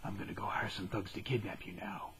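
A man voicing an arrogant, cold young billionaire in a parody anime dub, smug and deadpan with a breathy, hushed delivery. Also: lowish voice, quick pace.

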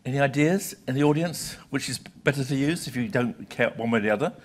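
A man in his sixties with a British accent lectures live to an audience. His pitch sits in a middle range, and he talks conversationally, stressing points in short clipped phrases. Faint noise lies behind the voice. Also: fairly quick. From Britain. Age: 60s. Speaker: lecturer, journal publisher. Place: lecture hall, speaking from a podium. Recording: live talk with audible background noise.

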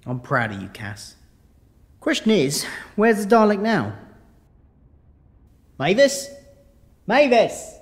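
A stubborn, protective family father acted by a man in a sci-fi audio drama. He talks colloquially in a fairly high, animated voice, with a long reverb around him. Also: slow pace, pauses often, full character voice. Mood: alert.

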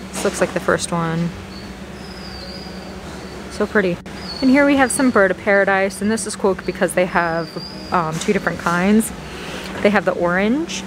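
Chatty woman vlogging in a noisy store. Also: mid-range voice. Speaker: vlogger, host. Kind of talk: casual shopping vlog commentary. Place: large indoor garden center of a store. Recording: clear store background noise, ventilation hum.

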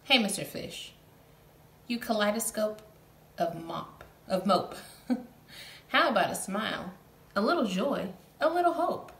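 A woman's voice: mid-range voice, lively intonation, measured pace, pauses often, a bit bright. A woman voicing a slender, squirmy, slightly rude female squid in a teasing, coaxing manner. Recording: roomy echo, faint background hum.

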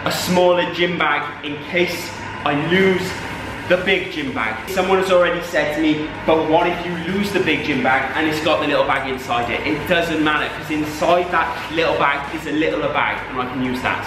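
A young man presenting a parody fitness vlog in a deadpan, mock-serious way, filmed in a large gym. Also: fairly high pitch. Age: young adult. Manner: mock-serious, dry delivery. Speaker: fitness vlogger. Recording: echoey gym with loud noise.

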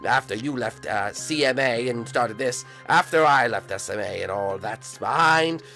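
A man voicing a vain, grumpy villain father who mumbles, stammers and trails off in a flat tone. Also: mid-range voice, moderate pace, creaky, faint music behind. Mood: puzzled. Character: grumpy, vain villain dad, tired and flustered.

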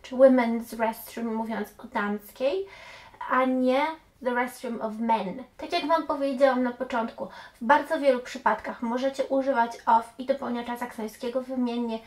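A woman's voice: a little high, steady tone, normal speed. A woman in her thirties, an English teacher, patiently explaining grammar to camera and dropping in English example phrases, recorded in a small room. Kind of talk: explanatory language lesson to camera. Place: small room indoors with bookshelves.

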